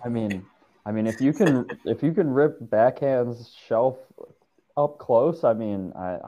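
Young male regular, middle pitch, chimes in with teasing jokes. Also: a little slow, dark tone. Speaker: podcast regular. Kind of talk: casual chat.

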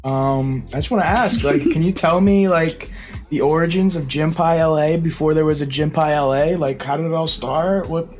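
A man's voice: on the high side, moderate pace, darkish tone, faint music behind. A man hosting a podcast over a video call, asking questions eagerly and teasing his guest with laughter. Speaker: podcast host. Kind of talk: casual interview chat.

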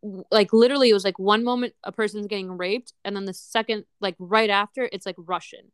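A woman's voice: medium pitch, fairly even intonation, a little fast, clear, resonant tone, no room sound. A woman co-hosting a casual podcast chat, talking bluntly and filling her sentences with "like". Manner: blunt, peppered with "like". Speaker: podcast co-host.

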